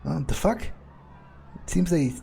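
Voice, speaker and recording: A man's voice: a little high, animated, vocal fry, warm tone, slight background noise, full character voice. A man roleplaying a guarded, blunt, sardonic vampire in a video game, with a wry, hesitant, thinking-aloud delivery. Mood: puzzled, uneasy. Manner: wry, unhurried, hesitant musing, casual swearing. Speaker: let's player. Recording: faint music behind the voice. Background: faint game music.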